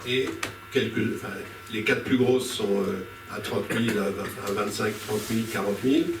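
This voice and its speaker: A man speaks to a public meeting from some distance off the mic, in a room with plenty of echo. His delivery is impromptu and argumentative, with halting phrases that he often reworks as he goes. Clear background noise runs under his mid-pitched voice. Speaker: speaker on a citizens' panel at a public local-politics debate.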